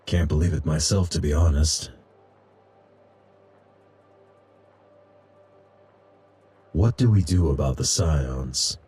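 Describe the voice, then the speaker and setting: A man playing a calm, weary, quietly kind warrior in a video game, speaking in a terse, understated way. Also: a bass voice, normal speed, husky, full character voice. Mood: gentle, subdued.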